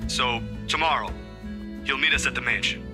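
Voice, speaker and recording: A man's voice: medium pitch, moderate pace, lightly acted. An actor playing a friendly, easygoing young man in a visual novel game. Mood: easygoing, reassuring. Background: game music with guitar.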